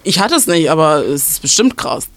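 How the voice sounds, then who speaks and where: A woman's voice: low-pitched, big pitch swings, brisk, fairly bright tone, dry recording. A young woman from western Germany giving casual school advice, explaining at length with many fillers and restarts.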